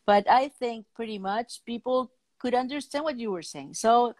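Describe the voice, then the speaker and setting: A woman's voice: fairly high pitch, normal speed, darkish tone, dry recording. A middle-aged woman with a foreign accent hosting a casual interview over a video call. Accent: foreign-accented English. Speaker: talk show host. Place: indoor room at home, on a video call.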